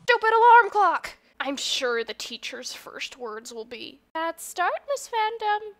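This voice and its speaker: A woman's voice: very high-pitched, sing-song, slow pace, a bit bright, exaggerated voice. A woman voicing an anxious, easily exasperated new schoolgirl in an amateur comic fandub.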